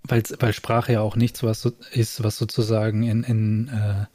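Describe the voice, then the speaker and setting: Low dark-voiced man, musing casually. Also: level tone, normal speed, no room sound, clean studio sound. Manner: thoughtful, searching for words. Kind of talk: casual chat.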